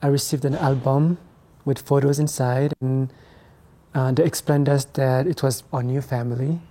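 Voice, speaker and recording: A man, a guest telling his life story in an interview, speaking in a reflective, halting way. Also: mid-range voice, steady tone, warm tone. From Ethiopia, raised in France. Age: 20s. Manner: reflective, hesitant, halting phrasing. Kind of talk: conversational interview.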